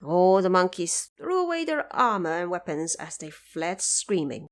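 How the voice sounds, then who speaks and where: A woman's voice: lowish voice, sing-song, measured pace. A woman narrator telling a story in a scripted, theatrical and declamatory audio drama style.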